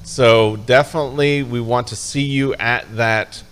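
A man's voice: mid-range voice, fairly even intonation, measured pace, fairly bright tone. A man from upstate New York hosting a live show, chatting casually with a guest and wrapping up with energy. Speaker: show host.

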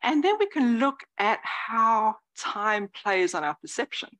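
A woman in her forties giving a lively, conversational dharma talk as a Zen teacher, dropping now and then into soft whispered asides. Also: a little high, measured pace. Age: 40s. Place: meditation hall before a live audience.

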